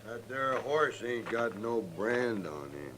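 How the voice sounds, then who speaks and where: An actor in an old-time radio western playing a suspicious, laconic outlaw sidekick with a rural American Western drawl. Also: male voice, medium pitch, animated, slow. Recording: very noisy old radio recording.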